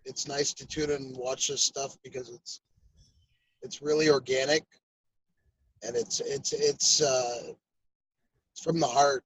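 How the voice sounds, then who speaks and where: A man calling in, speaking casually and reflectively in halting, repeated phrases. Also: medium pitch, very animated, fairly bright tone, slight background noise, poor audio. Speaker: call-in participant.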